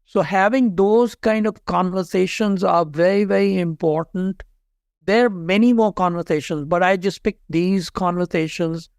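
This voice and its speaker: A man in his fifties from Pakistan with a Pakistani accent, telling career stories as an interview guest in an earnest, steady way. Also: high voice, clear, resonant tone, no room sound, clean studio sound. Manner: earnest, steady, repeats key points. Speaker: guest, HR leader and author. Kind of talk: interview storytelling.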